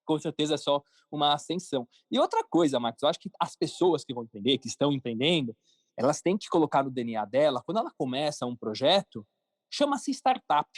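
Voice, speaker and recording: A man's voice: on the high side, sing-song, fast, no room sound. Brazilian man, informal and emphatic, dry close sound. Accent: Brazilian Portuguese. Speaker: podcast guest, cartoon creator and entrepreneur. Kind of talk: casual interview talk.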